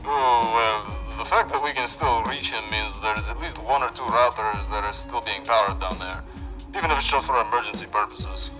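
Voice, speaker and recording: A man's voice: lowish voice, normal speed, music in the background, lightly acted, rough recording. A man playing a loyal but exasperated tech operator in a sci-fi audio drama, giving terse step-by-step commands. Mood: exasperated, focused. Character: bossy tech operator guiding an injured friend remotely.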